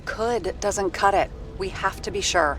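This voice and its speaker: An actress playing a guarded, by-the-book police liaison in a video game, speaking in a matter-of-fact, firm way as a detective's remote handler. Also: female voice, mid-range voice, measured pace, music in the background, close to an everyday voice. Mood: businesslike, insistent. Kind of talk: video game dialogue acting. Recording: loud vehicle and ambience noise.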